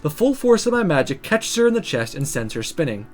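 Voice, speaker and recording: Expressive man narrating, high animated voice. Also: fairly quick, faint music behind. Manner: expressive, story-telling. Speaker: visual novel player and narrator. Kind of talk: dramatic read-aloud narration of game text.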